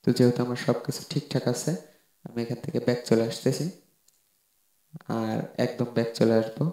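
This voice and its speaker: Flat-toned man explaining in an echoey room. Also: medium pitch, fairly quick. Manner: repetitive step-by-step explaining. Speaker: tech tutorial host. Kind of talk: informal screen-recorded how-to narration. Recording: roomy echoing voice-over.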